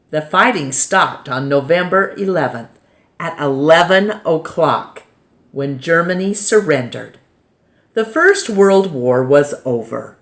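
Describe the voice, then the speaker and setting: An American woman reading a children's nonfiction book aloud like a storytime teacher, deliberately, pausing between short phrases. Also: low voice.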